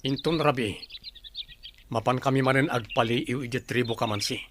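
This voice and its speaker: A man's voice: medium pitch, moderate pace, lightly acted. A man playing a determined, loyal young son in a radio drama, speaking earnestly. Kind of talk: Filipino radio drama acting. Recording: noisy, birdsong effects behind.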